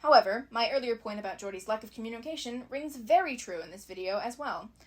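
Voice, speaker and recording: A woman's voice: fairly high pitch, expressive, fast talker. A woman giving conversational scripted commentary as a fan video essayist, analytical and opinionated with wry asides.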